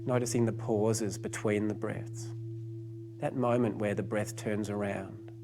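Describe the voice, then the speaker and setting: A man narrating a guided meditation as the guide, giving gentle, unhurried instructions with pauses. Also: lowish voice, steady tone, music in the background.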